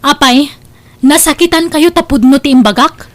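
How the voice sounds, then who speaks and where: A woman's voice: high voice, moderate pace, natural voice. A woman playing a lazy, defiant young wife in an audio drama, speaking with an Ilocano accent. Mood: insolent.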